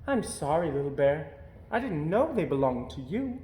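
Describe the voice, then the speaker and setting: A man's voice: on the high side, very animated, normal speed, mellow, voice pushed up. A man voicing a busy, polite female toy zebra in a storybook reading. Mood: polite, apologetic. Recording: roomy, echoey indoor sound.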